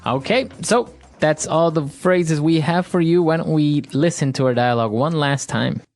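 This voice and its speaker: A man's voice: a little high, normal speed, darkish tone, faint music behind. Ecuadorian man co-hosting a language lesson. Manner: agreeable, explanatory, frequent "that's right". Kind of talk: casual teaching chat.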